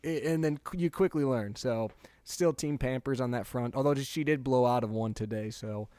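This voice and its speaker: A young man in casual podcast conversation, talking loosely and laughing easily as he tells stories. His voice sits at a middle pitch and sounds dry and close, with no room around it. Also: moderate pace, clean tone, dry recording. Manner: chatty, laughs easily, animated when telling stories. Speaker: podcast co-host. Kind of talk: casual chat.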